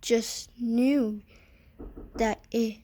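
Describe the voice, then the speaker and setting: A woman reading a children's picture book aloud in a deliberate way, pausing between short phrases. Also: a little high, fairly even intonation, dark tone, slight background noise. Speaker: storybook reader.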